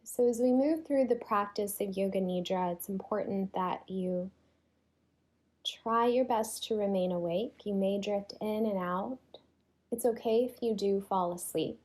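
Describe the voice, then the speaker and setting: A woman leading a guided yoga relaxation in a soothing, unhurried way, leaving long pauses between phrases. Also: medium pitch, a little mellow, low-quality recording. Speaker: yoga nidra teacher.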